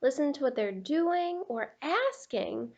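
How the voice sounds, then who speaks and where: A woman teacher explaining a picture book to children in an instructive way, with deliberate emphasis. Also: high-pitched, sing-song, gravelly, a little mellow, muffled recording. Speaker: teacher reading aloud.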